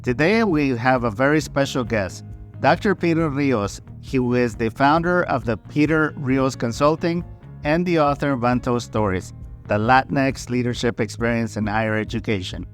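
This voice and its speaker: A male podcast host reads a friendly introduction and asks his guest questions, now and then switching into Spanish. Faint music sits low behind his voice. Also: normal speed. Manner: friendly, drops in Spanish greetings and questions, some "uh" fillers. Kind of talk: podcast hosting and interviewing.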